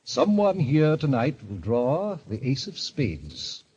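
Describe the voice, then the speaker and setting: An actor playing a suave, sinister middle-aged club president in old radio style, with pompous, florid, genteel phrasing. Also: male voice, mid-range voice, huge pitch swings, slow, a little mellow, slightly rough, exaggerated voice. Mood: grandiose, solemn. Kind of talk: 1940s radio acting. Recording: dull, lo-fi vintage radio recording.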